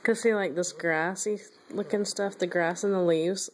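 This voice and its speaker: Brief: middle-aged mom, patient explainer, easy laughs, home phone recording. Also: female voice, fairly low pitch, steady tone, moderate pace, crowd noise. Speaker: mother and vlogger. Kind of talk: casual family chat. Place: home room with an ant farm. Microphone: phone camera.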